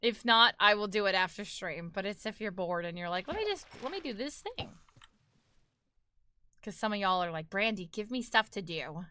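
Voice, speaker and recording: A woman's voice: medium pitch, expressive, normal speed, bright, forceful tone, smooth. A young woman with a New York accent, chatting casually with her live-stream audience while gaming, talkative and laughing often.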